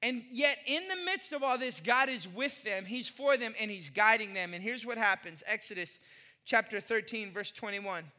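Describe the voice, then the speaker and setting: A man's voice: high voice, animated, normal speed, bright, forceful tone, in a large hall. A man in his forties preaching a sermon, emphatic, repeating phrases and putting questions to the congregation. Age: 40s. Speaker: pastor.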